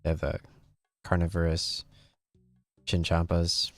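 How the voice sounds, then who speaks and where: A man with a very deep voice talks through a game as he plays. His commentary is casual and rambling, as if thinking aloud. The sound is close and dry, with music playing behind him. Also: monotone, unhurried, no room sound. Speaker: gaming video host.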